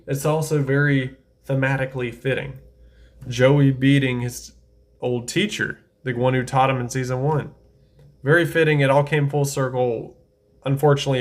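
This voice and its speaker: A man giving casual, enthusiastic tier-list commentary, talking things through aloud and correcting himself as he goes. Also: medium pitch. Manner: chatty, enthusiastic, thinks aloud with self-corrections. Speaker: anime tier-list creator.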